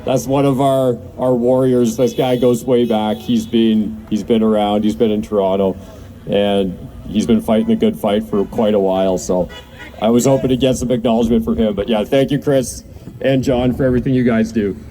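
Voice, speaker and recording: A man's voice: medium pitch, fairly even intonation, moderate pace, dark tone, faint music behind. A man hosting an open mic, giving rambling, appreciative, informal announcements to a gathering. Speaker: event host at an open mic.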